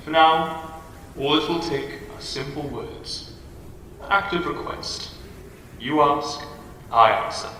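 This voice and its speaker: A man playing an ancient, menacing demon who patiently tempts with bargains, theatrical and drawn-out in an audio drama, with cavernous reverb on his voice. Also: on the high side, bright, forceful tone. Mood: coaxing. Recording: echoey cavernous reverb, distant, faint hiss.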